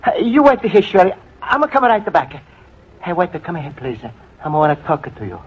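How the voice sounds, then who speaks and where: An actor playing an earnest, broke Italian immigrant man on a date, speaking halting broken English with an Italian accent in 1940s radio comedy style. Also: high-pitched, sing-song, husky, heavily acted. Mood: earnest, flustered. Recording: dull old radio recording with faint noise.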